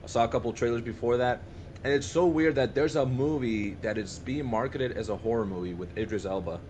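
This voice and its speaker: A man's voice: medium pitch, fairly quick, a little mellow, slight background noise. A young man chatting casually and enthusiastically with friends as a podcast co-host, often restarting and repeating himself mid-thought. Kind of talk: casual chat among friends.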